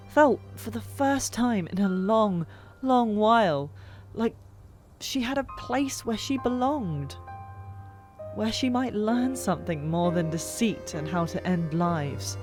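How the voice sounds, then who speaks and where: A woman reading fiction as an audiobook narrator, dramatic and expressive, with drawn-out pauses. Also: lowish voice. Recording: music behind, older microphone. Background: music.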